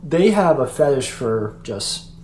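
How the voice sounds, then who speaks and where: A man's voice: medium pitch, measured pace. Rambling young man, echoey room. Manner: rambling, animated, heavy use of "like". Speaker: podcast guest. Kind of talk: casual podcast chat. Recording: echoey room, faint hum.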